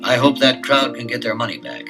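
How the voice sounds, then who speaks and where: A calm, authoritative middle-aged detective, played by an actor in an old record-album drama. He speaks steadily and firmly, with a mid-range voice. Clear music plays behind him. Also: mid-range voice, brisk, lightly acted.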